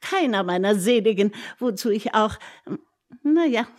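A woman's voice: mid-range voice, lively intonation, a little slow, a little mellow, close to an everyday voice. An actress playing a nosy, blunt, gossipy landlady in a German radio play. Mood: blunt, disapproving. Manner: scolding, gossipy.

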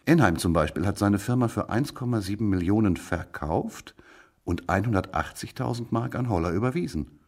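An actor playing a gruff, curt police detective with a dry, brusque manner in a radio crime drama. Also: male voice, lowish voice, expressive, moderate pace. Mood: matter-of-fact.

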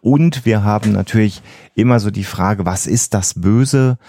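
A man's voice: on the low side, unhurried, mellow. A man co-hosting a podcast, explaining ideas in a conversational way. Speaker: podcast co-host.

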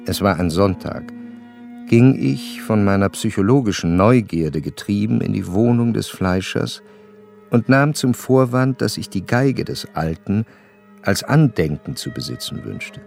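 Curious gentleman telling a sad memory, radio drama, deep gravelly voice, measured n formal. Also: lively intonation, faint music behind. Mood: measured, reflective. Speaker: narrator. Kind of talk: literary radio drama narration.

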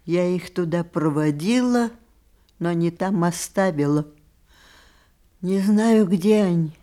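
Aged grandmother, radio play, mellow timbre, measured slow speech, fond, sharp-witted. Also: on the low side. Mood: reflective. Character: wise, sharp-tongued very old grandmother, fond and unsentimental. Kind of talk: theatrical radio play acting.

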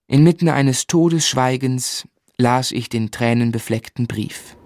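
Actor as an earnest, medium-voiced gentleman narrator. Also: medium pitch, lightly acted. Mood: sombre. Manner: measured, earnest, literary. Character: earnest, sensitive young gentleman recalling sad events. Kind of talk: scripted first-person radio play narration.

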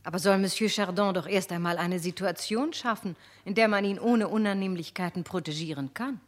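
A woman's voice: mid-range voice, brisk, close to an everyday voice. An actress playing a condescending noble society woman in a radio drama, formal and haughty. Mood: cool condescension.

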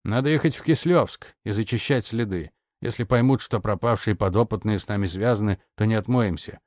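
A man's voice: fairly low pitch, fairly quick, darkish tone, dry recording, clean studio sound, natural voice. A man voicing an irritable, cynical male conspirator with a curt, grumbling manner in an audiobook.

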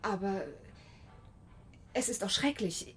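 An actress playing a chatty young understudy actress in a German radio drama, gushing and a bit nervous. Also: female voice, mid-range voice, expressive, takes it slowly, a bit bright, a little hiss or hum. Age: young adult.

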